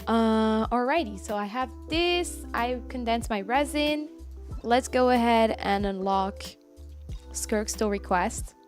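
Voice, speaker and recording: A young woman in her early twenties with a fairly high voice, livestreaming a game. She talks casually and chattily, answering viewers, with faint music behind her. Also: lively intonation, takes it slowly. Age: early 20s. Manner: chatty, reading and answering viewer messages aloud. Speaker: game streamer.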